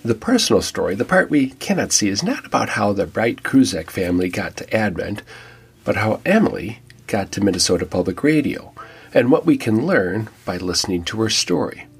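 A man with a low, very husky voice narrates a church podcast, his pitch rising and falling freely as he tells a guest's story. He keeps a reflective, lightly joking tone over faint background music. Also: lively intonation, moderate pace. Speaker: podcast host and church pastor.

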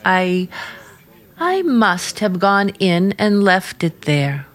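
An actress playing an earnest, suspicious young niece in old-time radio drama, speaking in a guarded, halting, pointed way. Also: female voice, medium pitch, very animated.

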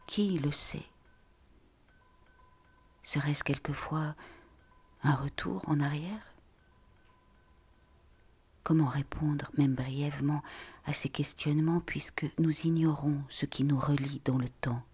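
A woman narrator reading a poetic, introspective literary monologue in a hushed, breathy way. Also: low voice.

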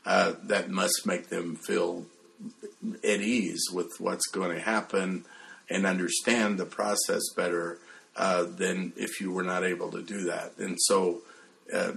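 A man interviewing a guest conversationally, phrasing his questions in a halting, deliberate way. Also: low voice, flat delivery, gravelly, fairly bright tone.

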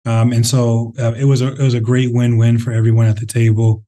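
A man talking through interview answers in a warm, mellow voice that stays fairly level in pitch. He speaks thoughtfully, pausing often with fillers and restarting phrases. He comes across as a company head explaining his work. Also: level tone. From Minnesota, Twin Cities. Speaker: real estate CEO, interview guest.

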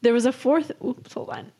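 A woman's voice: on the high side, unhurried, dark tone. A woman podcast host with a giggly manner, casually reading her own comic period story aloud. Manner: giggly, breaks into laughter while reading.

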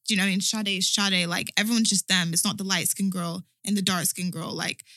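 A woman's voice: mid-range voice, steady tone, fast talker, bright, forceful tone, no room sound. A young woman in a casual group chat, speaking emphatically and filling her talk with "like".

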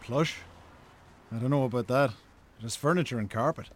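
Guy playing sensible irish brother in a radio play, medium pitch, matter of fact, bit reproachful. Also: normal speed, slight background noise, natural voice. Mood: concerned. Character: reproachful, level-headed Irish brother, adult man. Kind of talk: naturalistic radio drama acting.

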